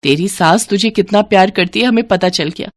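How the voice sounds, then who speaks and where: A well-off young woman from a moral storybook, played by a woman narrator. She is a concerned old friend, frank and expressive, in a mid-pitched voice. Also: a little fast, close to an everyday voice. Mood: reproachful.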